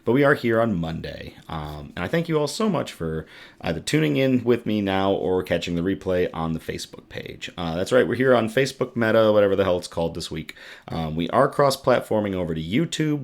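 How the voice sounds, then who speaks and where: A man with a raspy, worn-out voice casually hosting a good-news livestream from his home setup, chatting loosely with many ums and reading out viewer comments. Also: low voice, expressive, brisk. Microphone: desktop USB microphone.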